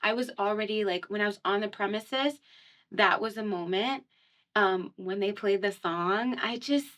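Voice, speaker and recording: A woman's voice: medium pitch, moderate pace, fairly bright tone. A young woman from Miami, a singer guest on a radio show, talking in a chatty, casual way with lots of "um" and "like" and frequent laughter. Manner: chatty, laughs often, many fillers like "um" and "like". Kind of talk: casual conversational interview. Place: radio studio.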